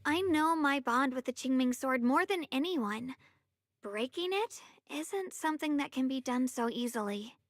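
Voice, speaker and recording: High-voiced, guarded young swordswoman. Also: expressive, normal speed, no room sound, studio quality. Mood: candid, wistful. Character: guarded, wry young swordswoman with a burdened past. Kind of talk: video game story voice acting. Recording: game music playing under the voice.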